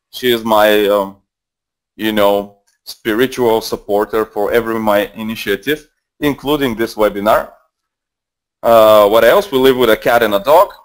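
A Bulgarian man in his twenties with a Bulgarian accent, presenting a live trading webinar in a casual, rambling way full of fillers and restarts, speaking into a headset mic. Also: medium pitch. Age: 20s. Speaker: trading mentor.

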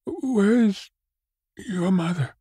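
A man's voice: high voice, a little slow, mellow, slightly rough, no room sound, voice pushed up, heavily acted. A man voicing a bewildered, despairing, ragged old man with faltering, plaintive exclamations in a storybook reading. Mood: anxious, faltering.

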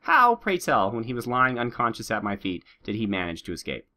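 A man's voice: medium pitch, brisk. A man doing joking gameplay commentary, slightly sick with a bit of a nasal sound and a sore throat. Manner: animated, joking, talks to his troops and viewers. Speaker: let's play host.

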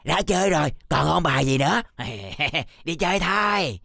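Creaky, cocky cartoon schoolboy, acted by a woman. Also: mid-range voice, lively intonation, moderate pace, pushed and bright, no room sound, studio quality, full character voice. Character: cocky, sporty cartoon schoolboy who hates studying. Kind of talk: animated children's cartoon dialogue.

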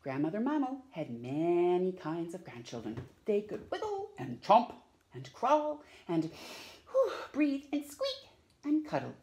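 A woman's voice: fairly low pitch, huge pitch swings, a little slow, dark tone. A middle-aged woman reading a picture book aloud to young children, theatrically drawing out words and putting questions to her listeners. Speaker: storytime host reading aloud. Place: small room at home, indoors.

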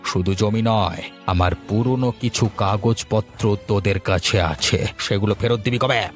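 Man acting a low-voiced scheming cartoon village uncle. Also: unhurried, soft background music, close to an everyday voice. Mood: menacing, accusing. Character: scheming villager and spiteful greedy old uncle.

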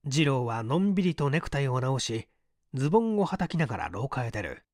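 A man's voice: medium pitch, big pitch swings, fairly quick, darkish tone, no echo, studio quality. A man reading a mystery story aloud as scripted audiobook narration. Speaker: audiobook reader.

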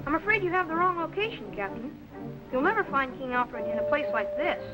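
A man's voice: very high voice, big pitch swings, fairly quick, low-quality recording. A teenage male actor playing an eager, polite boy assistant in a 1950s TV serial. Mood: questioning. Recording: old film soundtrack with music.

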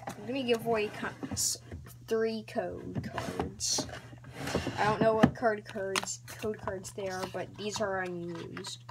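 A woman hosting a casual card-opening vlog, talking in a hesitant, rambling way with many ums and restarts, sometimes dropping to a soft whisper. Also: medium pitch, steady tone, slow, vocal fry, noisy background. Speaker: channel host.

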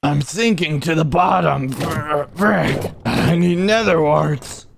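Slangy, goofy young cartoon hero, done by a man; slow, creaky, exclamatory, noisy home recording. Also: on the high side, exaggerated voice. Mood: flustered. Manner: exclamatory, slangy, hesitant muttering. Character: goofy, exclaiming young stick-figure adventurer. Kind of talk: amateur comedic cartoon voice-over.

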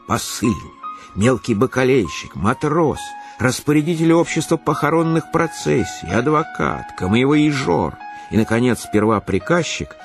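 An actor narrating a Russian literary radio play as an expressive, measured male storyteller. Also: mid-range voice.

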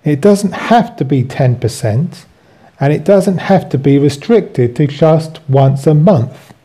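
A man reading a scripted religious lesson aloud as a teacher, in measured phrases. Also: fairly high pitch, expressive, mellow, slightly rough. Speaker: Bible teacher.

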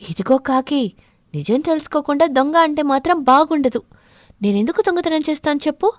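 Woman doing a high, sly thieving pigeon. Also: lively intonation, fairly quick, dry recording, studio quality. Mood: defensive. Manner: insinuating, defensive.

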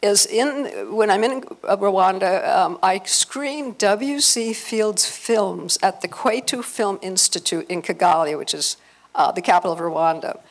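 An older American woman hosts an event with a creaky voice at a middling pitch. She speaks informally and expressively to her audience, using a handheld microphone in a hotel conference room. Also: a little slow. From Los Angeles, United States. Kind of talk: informal public talk to an audience. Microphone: handheld microphone through PA.

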